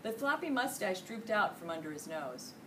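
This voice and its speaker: A middle-aged American woman reading a children's storybook aloud with expressive, emphatic delivery. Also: mid-range voice, normal speed. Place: indoor school classroom. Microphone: distant camera microphone. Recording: distant camera mic, noisy room.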